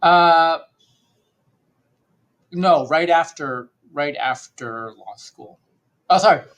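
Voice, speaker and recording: A man's voice: fairly high pitch, slow, a bit bright. Boston guy, middle aged, chatting frankly as a podcast guest. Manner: frank, conversational storytelling. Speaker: guest attorney.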